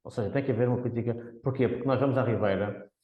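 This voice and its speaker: A man from Porto with a Porto accent, an economist guest on a podcast, explaining his views in a measured way with frequent restarts. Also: mid-range voice, reverberant, phone-quality sound. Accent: Portuguese, Porto. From Porto, Portugal. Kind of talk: podcast interview.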